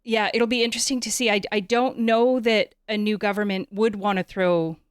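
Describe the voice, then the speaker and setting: A woman's voice: medium pitch, fairly even intonation, normal speed, smooth, no echo, studio quality. A Canadian woman hosting a conversational interview, informal and often searching for her words.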